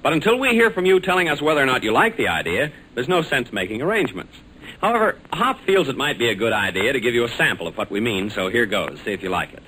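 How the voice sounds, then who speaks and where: Bright-voiced 1940s American radio announcer, man. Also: medium pitch, big pitch swings, quick pace. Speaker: radio announcer and story narrator. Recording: noisy old 1940s radio recording.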